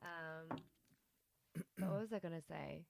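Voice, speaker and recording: A woman's voice: low-pitched, slow, a little hiss or hum. A woman chatting casually with friends on a podcast, rambling and trailing off now and then. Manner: chatty, trails off, clears throat. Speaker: podcast host. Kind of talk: casual chat among friends.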